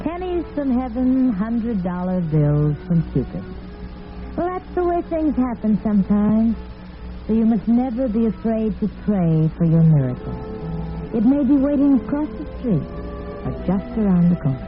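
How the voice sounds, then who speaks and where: A woman's voice: a little high, big pitch swings, normal speed, music in the background. A woman playing the storyteller hostess of a romantic old-time radio serial, narrating from a script. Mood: consoling, storytelling. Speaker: narrator and host. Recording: narrow old radio recording.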